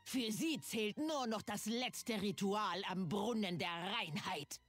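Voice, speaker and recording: An actress playing a stern female night elf warrior in a video game, speaking in a grave, measured manner. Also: medium pitch. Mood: solemn. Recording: game music behind.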